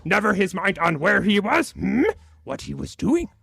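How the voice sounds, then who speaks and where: A man's voice: high-pitched, sing-song, moderate pace, gravelly, fairly bright tone, breathy edge, dry recording, studio quality, pitched above the performer's own voice, heavily acted. A man putting on the voice of a small, wise old alien master, musing in twisted word order during a sermon. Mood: sage, musing. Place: church sanctuary.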